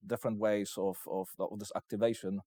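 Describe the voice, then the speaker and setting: A man with a Polish accent giving interview answers as he pitches his investment company, in hesitant, piecemeal phrases. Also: little pitch movement, a little fast, dark tone, no echo. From Poland.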